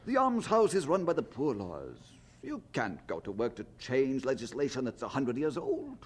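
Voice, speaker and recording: A man's voice: mid-range voice, huge pitch swings, moderate pace, husky. A man playing a plain-spoken schoolmaster, exasperated but kind, in a radio drama, with an emphatic, questioning delivery. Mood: blunt, resigned.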